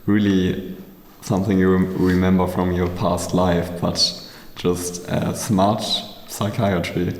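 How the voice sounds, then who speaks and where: A man's voice: low-pitched, flat delivery, slow, few pauses, darkish tone. A young man in his twenties from Germany, speaking English as a guest, firm and skeptical. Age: 20s. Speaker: podcast guest. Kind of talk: casual discussion. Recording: echoey hall, faint noise.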